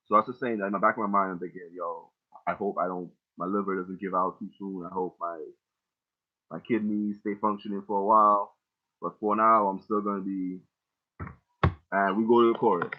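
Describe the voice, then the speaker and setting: Young male rapper, deep voice, loose and profane, explaining his lyrics over thin phone-quality sound. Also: fairly even intonation, brisk. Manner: loose, rambling, heavy casual profanity. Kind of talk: casual line-by-line lyric breakdown. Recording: tinny phone-like audio.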